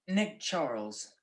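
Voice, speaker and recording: A man's voice: a little high, sharp tone, heavily acted. A man playing a wisecracking, easygoing private detective in a radio-style mystery, with a wry, offhand manner. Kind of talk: 1940s radio detective acting.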